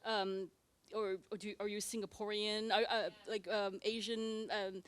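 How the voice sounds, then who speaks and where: A woman from France moderating a library author talk, asking questions in a halting way with many fillers and restarts. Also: medium pitch, little pitch movement. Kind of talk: conversational interview. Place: library event space with live audience.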